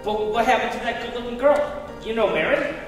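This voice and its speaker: A curious apprentice angel, acted by a man in a live radio play. The innocent voice is strikingly high and rings from far off in a hall, with music. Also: animated, fairly quick. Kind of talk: staged radio play acting.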